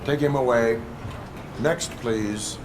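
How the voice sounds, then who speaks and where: An actor playing a stern cyborg policeman in a video game, speaking in flat, formal, clipped sentences. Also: mid-range voice, takes it slowly, background noise, close to an everyday voice. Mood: stern, impassive. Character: cyborg policeman, stern, emotionless, by-the-book desk officer.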